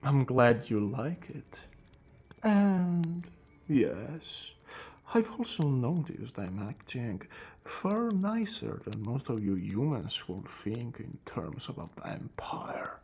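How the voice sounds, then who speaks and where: A man playing a courteous, calm and wry centuries-old vampire in an ASMR roleplay, speaking in a hushed voice that drifts into whispers with theatrical pauses. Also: medium pitch, slightly rough, full character voice. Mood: informative, wry. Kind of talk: ASMR roleplay addressed to the listener.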